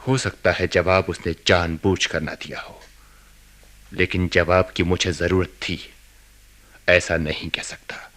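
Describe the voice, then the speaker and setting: A restless, brooding husband in a radio drama. The voice is low yet bright and forceful, moving slowly and hesitantly, as if confiding private thoughts. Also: male voice, level tone. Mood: brooding, weary. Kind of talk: radio drama acting, introspective narration and dialogue.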